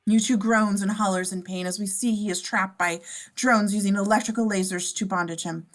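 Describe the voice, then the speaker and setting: A woman's voice: medium pitch, fairly even intonation, fairly quick. A woman reading scene descriptions as narrator in a table read of a script.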